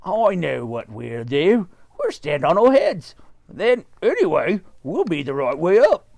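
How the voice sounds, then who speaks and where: A man's voice: on the high side, huge pitch swings, a little slow, creaky, darkish tone, no echo, voice pushed up, full character voice. A man voicing a nasty old man who gasps and exclaims in a raised voice. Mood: excited. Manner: gasping, exclaiming.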